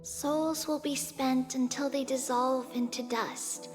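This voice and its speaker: A woman voicing a gentle, childlike girl in a video game who speaks softly and earnestly with wisdom beyond her years. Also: high-pitched, steady tone, slow pace. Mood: solemn resignation. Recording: long reverb, faint music behind.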